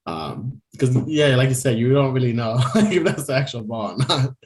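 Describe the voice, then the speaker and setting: A young man with a mid-pitched voice talks through sports news in a loose, rambling way. He laughs often and leans on casual fillers as he chats with his co-host. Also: expressive, moderate pace. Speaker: podcast co-host. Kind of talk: casual chat.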